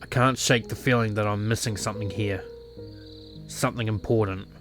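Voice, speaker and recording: Man acting a low-voiced brooding ex-clan leader. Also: fairly even intonation, moderate pace, over music, close to an everyday voice. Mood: regretful, brooding. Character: brooding, regretful male former clan leader with a violent past. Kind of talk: visual novel voice acting.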